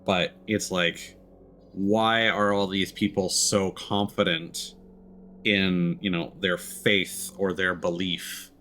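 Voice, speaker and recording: A man giving casual, thoughtful let's play commentary, often halting mid-sentence as he works through an idea. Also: low-pitched, flat delivery, sharp tone, a little hiss or hum. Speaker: game streamer. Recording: game music behind the voice. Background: video game music.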